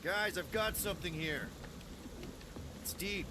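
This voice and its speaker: A man's voice: high-pitched, unhurried, heavy background noise. An actor playing a brash, flippant young leader of space outlaws in a video game, wisecracking as he goes.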